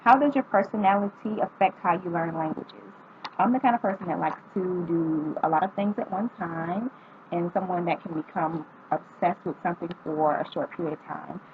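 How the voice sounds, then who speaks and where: A woman's voice: lowish voice, normal speed, slight background noise. Young woman vlogger, tinny phone-like audio. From North Carolina, United States. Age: 20s. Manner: reads questions aloud, then answers with frequent ums. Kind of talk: casual answering of tag questions.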